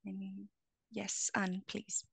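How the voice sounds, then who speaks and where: A woman's voice: mid-range voice, monotone, slow pace, vocal fry, slightly rough, no room sound. A woman moderating an online panel Q&A, reading out audience questions with frequent fillers. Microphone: video call.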